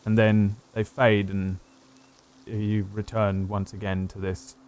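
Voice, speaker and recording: A man running a tabletop game as game master, narrating with hesitant pauses and fillers. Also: on the low side, level tone, a little mellow.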